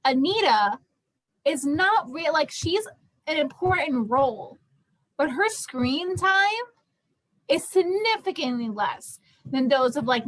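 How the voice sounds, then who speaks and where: A woman's voice: high voice, a bit bright, rough recording. A young woman leading a casual group discussion in an emphatic, conversational way, repeating points to stress them. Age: young adult. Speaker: student theatre group discussion leader.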